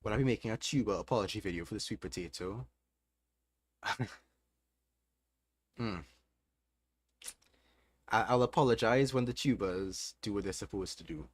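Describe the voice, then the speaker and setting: A man's voice: on the low side, normal speed, long pauses, slightly rough. A young man with a Trinidadian accent, hosting a casual livestream and answering chat questions while thinking aloud. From Trinidad and Tobago. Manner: relaxed, thinking aloud with fillers. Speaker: livestream host.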